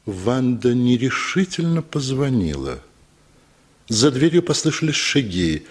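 A man narrating a literary story for a radio play, telling it expressively with dramatic pauses. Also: medium pitch, big pitch swings, slightly rough.

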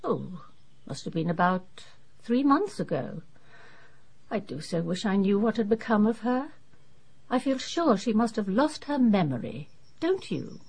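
A middle-aged English lady of the genteel sort in a radio drama. Her voice is slightly husky, and she chatters earnestly with an anxious edge. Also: mid-range voice, big pitch swings, measured pace, a little mellow, heavily acted. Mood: earnest, concerned.

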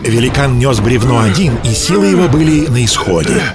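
A man narrating a fairy-tale audio play in an expressive storytelling manner. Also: medium pitch, fairly quick, over loud music.

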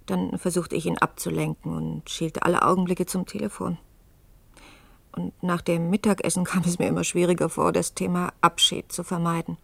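A woman's voice: lowish voice, creaky, no echo. Anxious woman, intimate dry sound. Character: anxious woman recounting her search for a man. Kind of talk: German radio play acting and narration.

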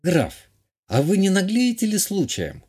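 A man acts out a young countess from an audiobook novel, pitched up. She is genteel and coquettish, socially shrewd and poised. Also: sing-song, normal speed, a little mellow.

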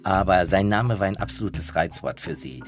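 A man's voice: low voice, expressive, normal speed. A man telling anecdotes as the presenter of a radio feature. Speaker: presenter and music journalist. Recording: music playing behind. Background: music.

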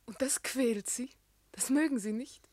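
A woman's voice: fairly high pitch, measured pace, mellow, no room sound. An actress playing an earnest, devoted and defiant young woman in love, speaking intense literary lines in a classic radio play. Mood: anxious, urgent.

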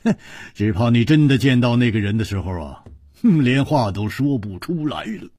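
Man acting brash, husky, blustering drinker. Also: mid-range voice, sing-song, normal speed, dark tone, no room sound, clean studio sound. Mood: taunting. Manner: muttering, drawling interjections, stammering. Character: brash, teasing male drinker, a blustering rascal.

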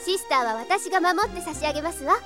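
A devoted young maiden in an anime audio drama. Her voice is high and soft-spoken, earnest and polite, over a musical backdrop. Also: lively intonation, fast, music in the background. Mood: bright, polite. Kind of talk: Japanese anime-style audio drama acting.

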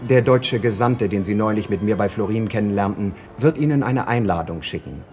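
Actor as a suave, low-voiced mocking young duke. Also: male voice, fairly quick, a little mellow, soft background music, natural voice. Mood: persuasive, condescending. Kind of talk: German radio play acting.

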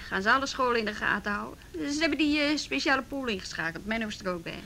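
An actress playing a busy, wry youth police officer in a naturalistic Dutch radio drama. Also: female voice, on the high side, lively intonation, quick pace, gravelly, sharp tone, slight background noise, heavily acted. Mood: wry, chatty. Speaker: actor in a radio play.

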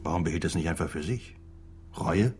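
An actor playing a coolly analytical, skeptical defence lawyer in a radio drama, formal and measured in manner. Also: male voice, low-pitched, music in the background, lightly acted. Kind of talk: German radio drama acting.

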